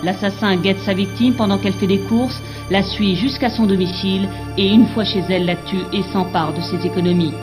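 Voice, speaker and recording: A woman's voice: mid-range voice, steady tone, brisk, hardly any pauses. Woman reading archive news over music. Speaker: news reader. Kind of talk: archive broadcast news report.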